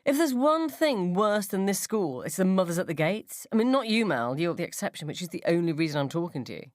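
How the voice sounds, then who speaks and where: A woman's voice: lowish voice, sing-song, fairly quick, no echo, clean studio sound. A woman playing a scornful, gossipy mother at the school gates in a radio story, with an emphatic, mocking drawl.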